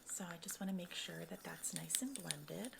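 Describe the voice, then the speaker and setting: Soft-spoken, creaky-voiced ASMR woman, often whispering. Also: fairly low pitch, animated, moderate pace, background noise. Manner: casual, reassuring, step-by-step explaining. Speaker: cosmetics consultant in a roleplay, ASMR creator. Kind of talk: soft-spoken ASMR personal-attention roleplay.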